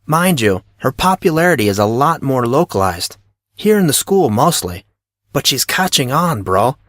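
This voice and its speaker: A man's voice: mid-range voice, big pitch swings, normal speed, a bit bright, dry recording, clean studio sound. A man playing a cocky, breezy teenage schoolboy who talks in slangy, self-assured teasing for an audio drama. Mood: cocky, explaining. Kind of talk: audio drama character reading.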